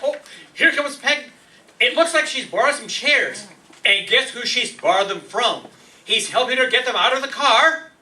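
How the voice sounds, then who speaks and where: A man playing an exasperated but good-natured husband in an old-time radio sitcom, protesting in an animated way. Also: extremely high pitch, normal speed, far from the mic, exaggerated voice, poor audio. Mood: upbeat.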